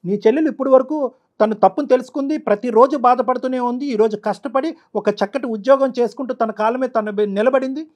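A middle-aged man counselling emotionally, emphatic and forceful, often repeating his phrases. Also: very high voice, fast. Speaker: counsellor doctor on the show. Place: studio.

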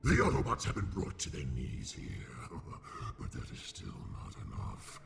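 A man playing a boastful, scheming evil alien robot in a video game, with a gravelly, theatrical, gloating delivery. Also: low voice, huge pitch swings, normal speed, exaggerated voice. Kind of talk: video game villain acting. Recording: loud music behind.

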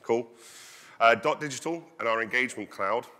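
Loud, bright-voiced man in a hall. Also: mid-range voice, moderate pace. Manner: energetic, walks the stage while talking; loud, projecting voice. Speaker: conference speaker. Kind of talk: conference presentation. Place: large conference hall stage. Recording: long hall reverb.

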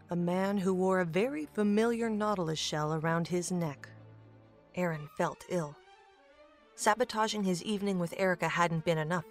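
Low-pitched woman narrating a story. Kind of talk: scripted story narration for an audio drama. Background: music.

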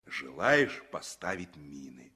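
An actor playing a kindly, patient sailor teaching a child in a 1960s Soviet radio drama, with a husky voice. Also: male voice, extremely low pitch, big pitch swings, slow, sharp tone, exaggerated voice. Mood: patient, playful.

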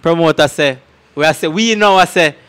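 A man's voice: fairly high pitch, fairly quick, fairly bright tone. A man with a Jamaican accent telling anecdotes casually and emphatically into a handheld microphone as an interview guest, often checking that the listener follows. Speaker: interview guest, dancer recalling tours. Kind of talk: casual anecdotal storytelling in patois. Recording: clear background noise and ambience.

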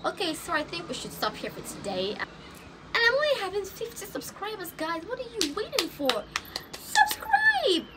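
A young Nigerian woman vlogging from an outdoor balcony, talking straight into a phone camera in a loose, chatty way. She stumbles and repeats words now and then. Clear street noise sits behind her voice. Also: very high voice, huge pitch swings, moderate pace. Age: young adult. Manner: chatty, with stammers and laughing asides. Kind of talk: casual get-to-know-me vlog. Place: outdoors on a balcony above rooftops. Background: street ambience.

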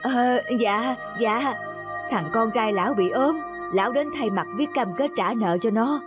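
A man playing a stern, wise elderly scholar in a cartoon folk tale, admonishing in a measured way. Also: very high voice, over music. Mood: sly, measured. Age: older.

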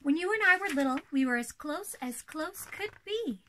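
A woman's voice: high-pitched, expressive, normal speed, pushed and bright, slight background noise. A young woman playing an elegant, proper older-sister princess in a children's storybook read-aloud, theatrical and poised. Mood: earnest, prim. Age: young adult.

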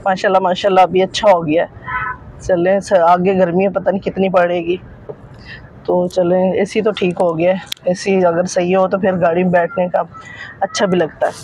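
A woman's voice: lowish voice, level tone, moderate pace, darkish tone, low-quality recording. A middle-aged woman chatting to her viewers from the seat of a car. She narrates loosely, keeps returning to the same filler phrases, and talks into a phone held at arm's length. Faint traffic hum sits underneath her voice. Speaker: family vlogger. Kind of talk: casual vlog narration. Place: inside a car in a busy market street. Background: faint traffic and vehicle noise.

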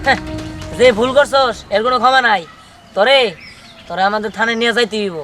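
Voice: medium pitch, fast talker, close to an everyday voice. An actor playing a bold, righteous undercover agent with a commanding, streetwise manner, in an amateur short film. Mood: righteous anger. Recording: music behind the dialogue.

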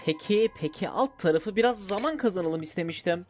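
Male actor as mischievous, excitable animated clone; very animated pitch, childish pride, light music bed. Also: a little fast. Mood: sheepish. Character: playful, childish male clone, pleased with silly schemes. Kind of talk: animated comedy dub in Turkish.

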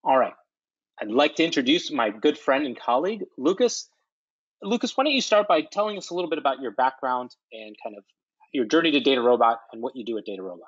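A man's voice: mid-range voice, lively intonation, fast talker, slightly rough, dry recording, lo-fi sound. A man hosting a technical webinar, friendly and lightly joking as he introduces his guest. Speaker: webinar host, data scientist.

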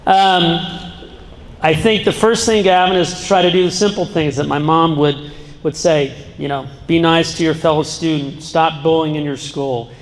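Man, middle-aged, high voice, earnest talk to students, handheld mic, big gym, long reverb. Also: steady tone, normal speed. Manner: earnest, breaks off and restarts, jokes with the audience. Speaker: guest speaker. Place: large school gym. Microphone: handheld microphone. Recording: long reverb in a large hall. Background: seated student audience.

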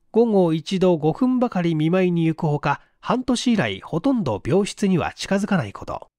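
A man reading a mystery story aloud as scripted audiobook narration, in an expressive, literary manner. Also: fairly high pitch, no echo, studio quality. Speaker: audiobook narrator, stage actor.

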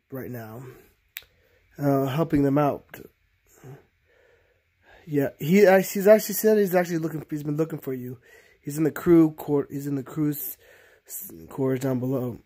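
A man voicing chatty plush toy characters for a reaction show, speaking in a halting, stammering way. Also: a little high, dark tone, slightly rough. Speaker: host of puppet reaction show. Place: bedroom.

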